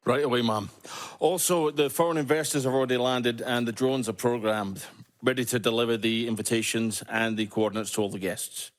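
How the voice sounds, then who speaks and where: A man's voice: medium pitch, fairly even intonation, fairly quick, close to an everyday voice. An actor playing a dutiful male henchman in a video game, reporting in an efficient, deferential manner. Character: dutiful, businesslike male henchman of crime boss. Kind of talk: dramatic video game acting.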